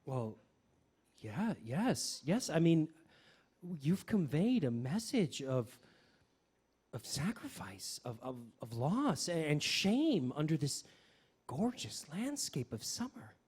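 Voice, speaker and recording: A man hosting a radio variety show with semi-scripted comedy, speaking haltingly and often repeating his words. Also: fairly high pitch, big pitch swings, a little mellow, slightly rough.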